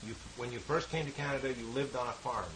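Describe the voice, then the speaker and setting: A man's voice: mid-range voice, steady tone, fast talker. A man in a middle register conducts an oral history interview, patiently prompting and rephrasing his questions. A faint hiss runs under the old tape recording. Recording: hissy 1970s tape recording.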